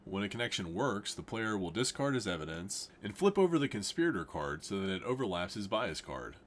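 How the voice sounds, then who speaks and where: A man's voice: fairly low pitch, very animated, fairly quick. A man explaining the rules of a card game in a scripted tutorial, plainly at first and then with jokey asides. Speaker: game demonstrator.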